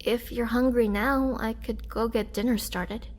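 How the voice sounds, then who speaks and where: A woman playing a gentle, remorseful young vampire in an intimate roleplay audio drama, speaking softly and hesitantly with tender care. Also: fairly high pitch, steady tone, measured pace. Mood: caring, reassuring. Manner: soft, hesitant, caring delivery.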